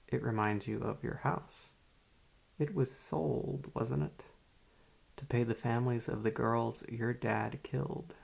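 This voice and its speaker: A man playing a calm, formal, probing psychiatrist in a soft-spoken ASMR roleplay, with a creaky, husky voice and a measured, polite manner. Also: mid-range voice, exaggerated voice. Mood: clinical, probing. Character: calm, formal, probing male psychiatrist interviewing a young patient.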